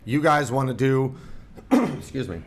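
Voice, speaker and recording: A man's voice: medium pitch, expressive, a little slow. A man from the United States, a guest on a casual podcast, talking in a rambling, self-deprecating way with lots of fillers and restarts. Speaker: guest, percussion company representative.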